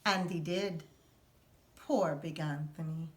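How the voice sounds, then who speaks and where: A woman teacher reading a picture book aloud to children in an expressive storytelling manner. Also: low voice, slow pace. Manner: expressive, sing-song storytelling.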